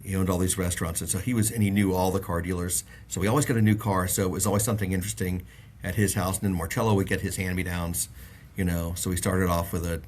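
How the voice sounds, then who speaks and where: A man's voice: low-pitched, level tone, fast talker, darkish tone. A middle-aged man from the Bahamas, a guest on an interview show, telling long stories with lots of fillers and restarts. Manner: rambling, many fillers and restarts. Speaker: auto industry guest. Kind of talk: casual interview chat.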